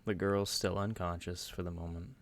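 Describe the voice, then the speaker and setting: A man running a tabletop game as dungeon master, narrating in a deliberate, measured way with long pauses. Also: deep voice, steady tone.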